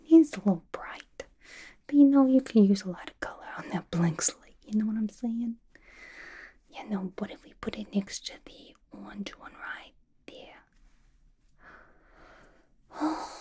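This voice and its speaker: A woman voicing a playful, unhinged young villain inmate in a chummy, slangy ASMR roleplay, mostly in a breathy whisper. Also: fairly high pitch, expressive, a little slow, pauses often, dark tone, slight background noise. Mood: smug, chummy.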